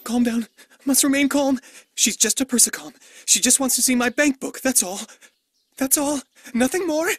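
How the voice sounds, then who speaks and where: A man's voice: very high-pitched, normal speed. A man playing an earnest, easily panicked young student who mutters to himself in an anime dub. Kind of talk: English anime dub acting. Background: music.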